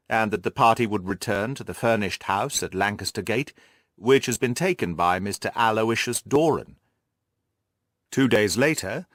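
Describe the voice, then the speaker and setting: A man voicing an earnest, orderly gentleman companion in an audiobook, measured and deliberate in manner. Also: lowish voice, no echo, studio quality. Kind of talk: audiobook character voice.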